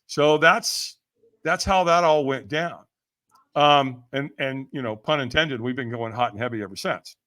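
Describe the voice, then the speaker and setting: Older male podcast guest. Energetic, emphatic raconteur with casual slang, voices both sides of remembered exchanges. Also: mid-range voice, unhurried. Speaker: podcast guest, firewalking event leader. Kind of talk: energetic storytelling in casual interview.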